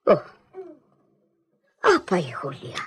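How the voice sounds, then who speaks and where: A woman playing a money-hungry, resentful village gossip in an Ilocano audio drama, with a husky voice. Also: sits low, wildly swooping pitch, extremely slow, full character voice. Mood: curious.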